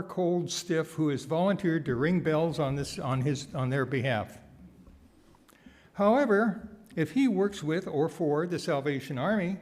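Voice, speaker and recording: A man delivering a prepared sermon with wry asides, phrasing deliberately and repeating words for emphasis. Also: on the high side, a little mellow. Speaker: guest speaker giving a sermon, nonprofit founder.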